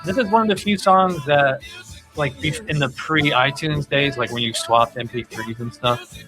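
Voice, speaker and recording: A man chats casually as a podcast host, with music playing behind him. He talks haltingly, feeling his way through each thought with hedges and asides. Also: on the high side. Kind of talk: casual chat about pop music.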